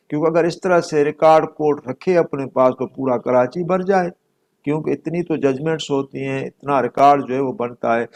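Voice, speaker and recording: A man's voice: on the high side, creaky, darkish tone. A middle-aged man with a Pakistani accent giving an explanatory law lecture, repeating points for emphasis and dropping in English legal terms.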